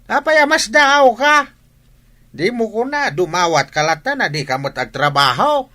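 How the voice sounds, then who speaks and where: A man's voice: high voice, sing-song, a little slow, pushed and bright, exaggerated voice. An actor playing a blunt, scolding old grandfather from the countryside in a radio drama. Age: older. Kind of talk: regional radio drama acting.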